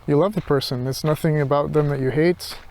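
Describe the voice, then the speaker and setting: Hesitant, reflective man with higher-pitched voice. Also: fairly even intonation, brisk, darkish tone, slight background noise, low-quality recording. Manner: hesitant, searching, circling back over phrases. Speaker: philosophy vlogger. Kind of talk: unscripted reflective monologue.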